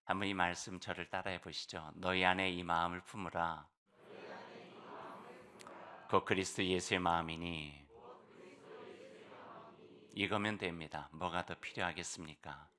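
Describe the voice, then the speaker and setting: A man preaching in a very low voice that still has a bright, forceful edge. He is hoarse from a cold. He talks to the congregation in an easygoing way, slowing down on key phrases. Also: steady tone. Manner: warm conversational preaching with fillers and slow emphatic phrases. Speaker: pastor. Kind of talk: sermon.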